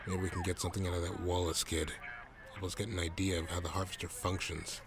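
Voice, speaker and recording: A man playing a gruff, cynical police detective in an audio drama, terse and brusque with a gravelly voice. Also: deep voice, level tone, quick pace. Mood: scornful. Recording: noisy scene, siren ambience behind.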